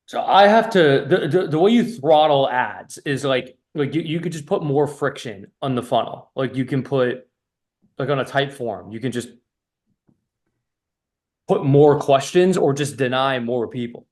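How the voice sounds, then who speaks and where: A man's voice: medium pitch, expressive, measured pace, darkish tone. A man coaching on a casual call, blunt and profane, with an emphatic, stop-start delivery that often repeats itself. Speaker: business coach.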